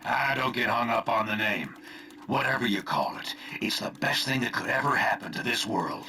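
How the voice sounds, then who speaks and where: An actor playing a veteran spymaster turned villain, colloquial and coolly persuasive, with menace, in a game cutscene. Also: male voice, medium pitch, moderate pace, sharp tone. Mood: smug. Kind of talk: dramatic video game cutscene acting.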